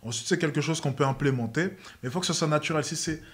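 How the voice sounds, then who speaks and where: A man's voice: on the high side, quick pace, fairly bright tone. Young man teasing on a stream. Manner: teasing, repeats "cap" to tease. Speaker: streamer host. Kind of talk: casual chat on a livestream. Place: indoor living room set. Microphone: headset with desk microphone.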